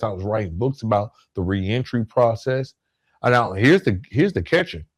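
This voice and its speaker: Guy doing a solo motivational podcast, medium pitch, deliberate and punchy, leans on key phrases. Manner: emphatic, deliberate, repeats key phrases for weight; conversational check-ins like "right?" and "okay?". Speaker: podcast host.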